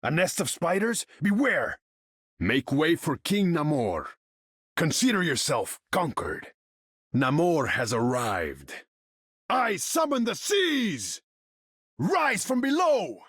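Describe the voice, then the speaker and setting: A haughty, commanding warrior king of the seas for a video game. The delivery is unhurried, pompous and theatrical, with huge melodic swings and a husky grain in the tone. The sound is close and dry. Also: a little high, huge pitch swings, fairly bright tone, dry recording, full character voice. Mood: triumphant battle cry. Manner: regal, pompous, theatrical declamation in formal speech. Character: proud, imperious male sea king warrior, haughty and commanding. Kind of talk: video game character voice lines.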